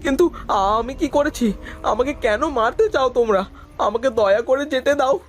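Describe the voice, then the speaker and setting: Man acting a high-pitched, panicked traveler. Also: a little fast, slight background noise. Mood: terrified pleading. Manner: panicked, high, pleading, repeating himself. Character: terrified male traveler pleading for his life.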